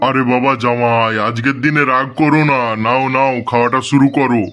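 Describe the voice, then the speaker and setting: Man putting on a gruff older father-in-law. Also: medium pitch, fairly even intonation, a little fast, a bit bright, dull audio, faint music behind, voice pushed down, close to an everyday voice. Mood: placating. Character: gruff angry old father-in-law, threatening a beating.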